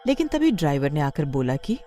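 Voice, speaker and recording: Female horror narrator: scripted storytelling, animated intonation, lowish warm tone. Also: a little fast.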